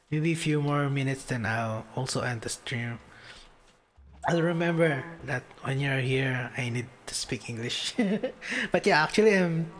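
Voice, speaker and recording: A young man from the Philippines with a Filipino accent, chatting casually and giggling as he answers viewers during a live game stream. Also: medium pitch. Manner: relaxed, chatty, giggly, reads and answers chat. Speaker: VTuber streamer.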